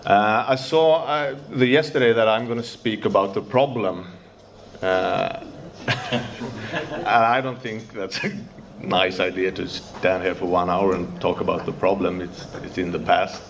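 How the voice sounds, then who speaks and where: Low-voiced Swedish man, nervous, halting non-native English, faint noise behind. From Sweden. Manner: halting, searching for English words, many fillers and restarts. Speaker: speaker sharing recovery story. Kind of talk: recovery meeting share.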